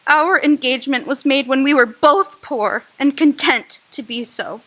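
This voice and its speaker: A woman playing a young woman who ends her engagement, grave, slow and emotional in radio drama acting. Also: high-pitched, fairly bright tone. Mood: sorrowful, resolute.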